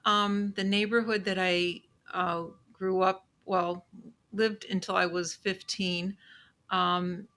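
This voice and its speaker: Chicago woman in her sixties, slow unhurried pace, bright tone, soft and measured, pausing often. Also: on the low side, level tone. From Chicago, USA. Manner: soft-spoken, measured, with many pauses and "um"s. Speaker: legal assistant and podcast guest. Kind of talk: casual interview chat.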